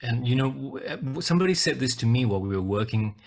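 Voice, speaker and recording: A man's voice: mid-range voice, lively intonation, fast talker. A man from Singapore presenting a webinar over a video call, talking casually and hesitantly, often repeating his words.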